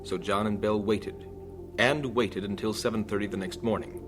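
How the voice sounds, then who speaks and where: A man's voice: on the low side, steady tone, normal speed. Man narrating radio drama over music. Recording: radio studio recording with music underneath. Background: clear music.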